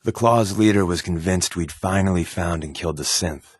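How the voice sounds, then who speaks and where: A man's voice: low voice, normal speed, husky, no echo. A man playing an easygoing, wisecracking secret agent with hidden guilt in a video game, talking in a wry, casual, conversational way. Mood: somber confession. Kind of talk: video game companion dialogue.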